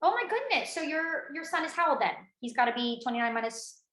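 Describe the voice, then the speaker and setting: A woman interviewing a guest in a casual celebrity interview, chatty and quick with exclamations. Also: high-pitched, very animated, fairly bright tone, reverberant.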